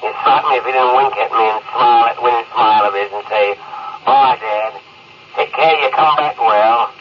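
Casting: sentimental middle-aged ship's mechanic, rough-spoken rambler over spaceship radio, old drama broadcast, dull and noisy. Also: male voice, medium pitch, fairly quick. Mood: wistful, reminiscing. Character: simple, garrulous, sentimental working-class ship's mechanic, male. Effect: heard over a spaceship radio.

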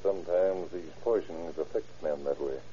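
Casting: male actor for small-town lawman, lowish voice, dry and conversational, old radio drama with muffled sound. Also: moderate pace, warm tone, close to an everyday voice. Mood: grim, resigned. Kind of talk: old-time radio crime drama acting.

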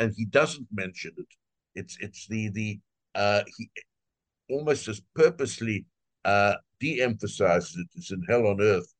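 A retired older man speaks in a somewhat low voice, wry and emphatic as he answers interview questions. He stacks up repeated phrases to drive his points home. The sound is dry with no room echo. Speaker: retired translator, guest.

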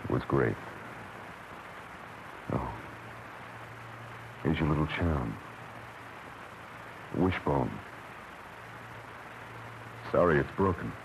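A man's voice: rumbling low, expressive, very slow, long pauses, noisy background, heavily acted. An American man playing a tough, weary private detective in a terse 1940s radio drama style, narrating and speaking in scenes. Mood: tender, bitter. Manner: terse, hard-boiled delivery. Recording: vintage radio recording with music.

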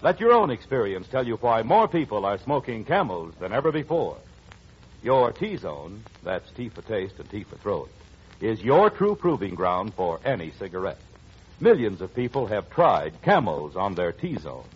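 A man's voice: lowish voice, very animated, moderate pace, slightly rough. An American man announcing in formal, emphatic 1940s radio style. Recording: dull old radio recording, music behind.

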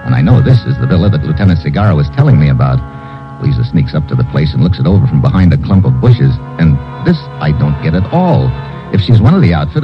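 Deep-voiced, hard-boiled American investigator. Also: male voice. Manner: clipped, wisecracking, tough. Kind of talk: 1950s radio drama acting and first-person narration. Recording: muffled old radio recording with music.

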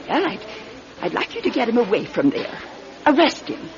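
Fretful old lady, lively pitch. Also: moderate pace, background noise. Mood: indignant, fretful. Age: older. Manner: fussy, hesitant, insistent. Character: timid, fretful, genteel old lady citizen. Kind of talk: radio drama acting. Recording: dull, lo-fi old radio recording.

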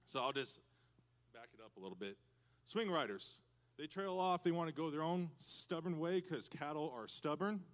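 A high-pitched man preaching to a church congregation, full of energy. He teaches with props and bursts into loud cowboy hollers now and then. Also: expressive, normal speed. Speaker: church speaker addressing youth and parents. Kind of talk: lively hands-on sermon with props.

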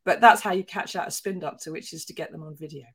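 A woman's voice: deep voice, quick pace, slightly rough. A woman co-hosting a news podcast, telling stories in a chatty, wry way. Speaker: podcast co-host, newspaper columnist. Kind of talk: casual news chat.

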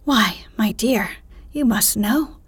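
A woman voicing a fussy, excitable middle-aged mother in a soft, breathy whisper during a bedtime storybook reading. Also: on the high side, takes it slowly, dry recording.

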